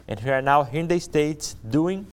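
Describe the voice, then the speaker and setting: A young man with a Brazilian accent, a native Portuguese speaker, speaking as a missionary giving his testimony to a congregation in a deliberate way, repeating phrases for emphasis. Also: a little high, animated. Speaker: missionary giving a ministry presentation. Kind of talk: church testimony speech to a congregation.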